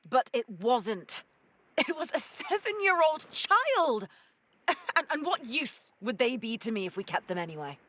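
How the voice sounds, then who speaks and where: A woman's voice: high voice, sing-song, measured pace, fairly bright tone, slight background noise, exaggerated voice. A woman playing a stern, sardonic female pirate captain in an audio drama, commanding and dryly sarcastic. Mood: stern, exasperated.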